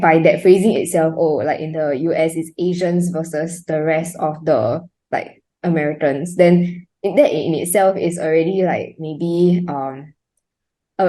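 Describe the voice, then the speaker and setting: A young woman speaks with a Singaporean English accent in a relaxed interview. Her voice sits low and mellow, and she rambles casually with plenty of "like" fillers. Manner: chatty, many "like" fillers, light laughs.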